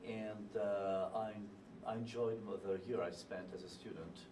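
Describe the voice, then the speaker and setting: A French teacher in his fifties speaking halting English with a French accent, searching for words, in a small TV studio. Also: fairly low pitch, steady tone, distant mic, noisy background. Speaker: teacher leading an exchange trip. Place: small TV studio with a seated group.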